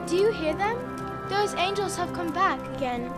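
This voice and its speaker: A woman's voice: very high-pitched, expressive, normal speed, over loud music. A female performer playing a sleepy, wondering little boy talking to his mother in an audio drama. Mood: hushed wonder.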